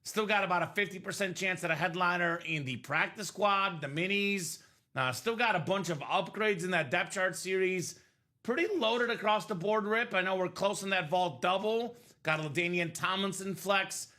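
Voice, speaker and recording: Man hosting a live card break, bright and a touch high, upbeat sales talk, voice slightly worn. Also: moderate pace. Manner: upbeat, salesy running commentary. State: voice a bit worn from singing. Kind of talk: live stream selling chat.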